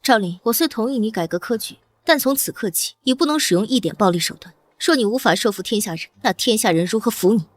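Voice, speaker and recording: A woman's voice: on the high side, animated, fast, hardly any pauses, no echo. An actress playing an imperious young ruler in a historical comic drama, stern and authoritative as she reproves. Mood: commanding.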